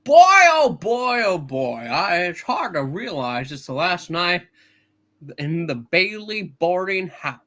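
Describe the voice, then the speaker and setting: Guy doing an aw-shucks small town young man, slow n hesitant, very lively pitch. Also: full character voice. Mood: aw-shucks, eager. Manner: hesitant, folksy. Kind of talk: live comedic radio play reading.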